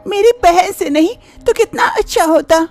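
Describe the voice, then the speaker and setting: A woman voicing both twin sisters in a cartoon story, a young wife and her scheming sister, lively and teasing. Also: very high voice, normal speed, music in the background. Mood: playful.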